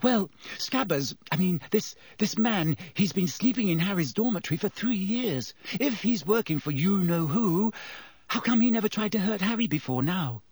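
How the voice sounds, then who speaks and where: A man's voice: sits high, expressive, normal speed, a little mellow, breathy edge, dry recording, pitched above the performer's own voice, heavily acted. A man voicing a timid, earnest teenage schoolgirl in an audiobook, speaking politely and hesitantly. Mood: puzzled, hesitant. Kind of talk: audiobook character voice.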